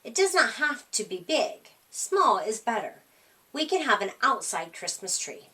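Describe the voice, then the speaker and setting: A little storybook mouse, voiced by a woman reader, talks in a bright, high voice. She is cheerful and modest, eager to explain things in short sentences. The sound comes from slightly away from the mic. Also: very animated, a little slow, far from the mic, exaggerated voice. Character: small, modest, knowing, cheerful female jumping mouse.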